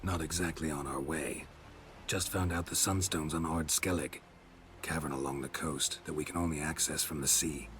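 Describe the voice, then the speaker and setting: An actor playing a stoic, dry-witted monster hunter in a fantasy game, with terse, deadpan lines. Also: male voice, rumbling low, moderate pace, a little hiss or hum. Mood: matter-of-fact. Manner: terse, deadpan, clipped sentences. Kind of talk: video game cutscene dialogue.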